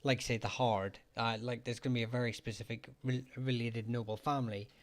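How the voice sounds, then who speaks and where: A man's voice: mid-range voice, fairly even intonation. Hesitant man hosting a live chat. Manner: halting, many fillers and restarts, thanks viewers for gifts. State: getting hoarse, clears throat often. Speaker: livestream host answering surname questions. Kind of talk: casual live-chat talk.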